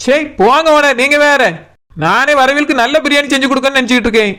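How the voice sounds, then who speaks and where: A woman acts out an overworked young cartoon cook with a high, bright voice. She whines and pleads at a rapid pace, with a colloquial Kanyakumari Tamil accent. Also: slight background noise. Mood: explaining, defensive. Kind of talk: comic cartoon dialogue.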